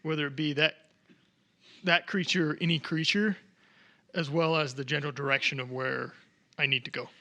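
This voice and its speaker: A man's voice: fairly high pitch, fairly even intonation, moderate pace, pauses often, bright, forceful tone. A man playing a tabletop game, casually describing what his character does and reading out rules.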